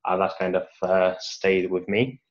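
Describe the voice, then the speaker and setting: Low, flat-voiced engineer. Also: male voice, a little slow. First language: Russian. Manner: hesitant, many restarts and fillers. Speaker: mechanical engineer. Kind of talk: answering read-out questions in a career Q&A. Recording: dull lo-fi audio.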